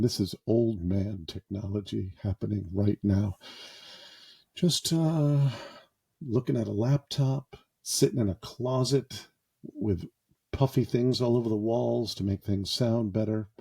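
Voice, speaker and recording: Brief: male podcast host, self-deprecating and jokey, unhurried delivery, very dark tone. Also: lowish voice, gravelly, husky. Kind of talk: improvised casual podcast monologue. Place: small closet padded with sound foam.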